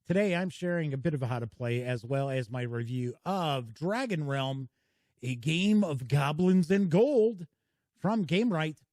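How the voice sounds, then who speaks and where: A man's voice: on the high side, lively intonation, unhurried, a little mellow, no echo, studio quality. A man hosting his own board game channel, giving a casual, chatty review to camera in a folksy, welcoming way. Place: small home studio.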